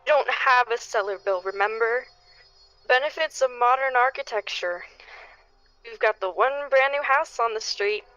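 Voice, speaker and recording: A woman playing a desperate, pleading neighbour and parent in a radio play, with halting, stammering delivery. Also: a little high, pushed and bright, lo-fi sound, faint music behind. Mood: anxious, bitter. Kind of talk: dramatic radio play acting.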